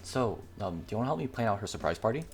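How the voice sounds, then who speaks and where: A man's voice: on the low side, sing-song, racing. A man voicing a cheerful, eager young anime gamer girl in a visual novel. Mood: hopeful. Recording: music behind the voice.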